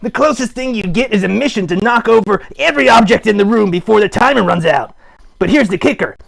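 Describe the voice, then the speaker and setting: Goofy puppet show host, played by a man: high-pitched, very raspy, playful and theatrical, improvised rambling. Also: expressive, brisk, exaggerated voice. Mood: playfully excited. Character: chatty goofy puppet hosting a live show. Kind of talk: improvised comic rambling to live chat.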